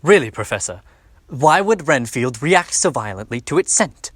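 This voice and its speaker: A man playing a courteous, fretful doctor and father in a radio drama, slightly husky, with a hesitant, polite manner. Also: medium pitch, big pitch swings, normal speed, a bit bright, no echo. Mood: apologetic, puzzled.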